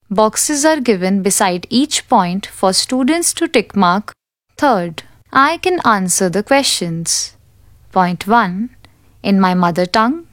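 Slow, careful woman narrator. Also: mid-range voice, no echo, studio quality. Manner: slow, careful, deliberate reading. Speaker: audiobook narrator. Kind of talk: reading a textbook aloud.

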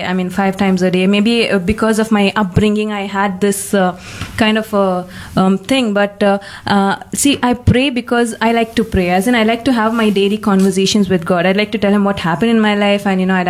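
A woman of twenty with a mid-pitched voice takes part in a personal discussion. She talks conversationally, pausing and rewording her thoughts as she goes. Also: fairly even intonation.

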